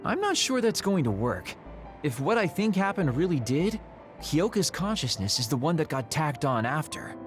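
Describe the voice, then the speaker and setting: A man's voice: a little high, very animated, normal speed, over music. A man voicing a flippant, shady lone wolf in a video game, with a wry and breezy manner. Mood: skeptical. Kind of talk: English video game voice acting.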